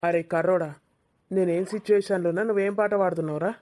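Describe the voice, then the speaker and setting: A woman's voice: fairly low pitch, fairly even intonation, quick pace, a little mellow, natural voice. A woman voicing a grumpy, lovelorn young male student in a cartoon village comedy, with a Telangana accent and a complaining, bickering manner. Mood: irritated.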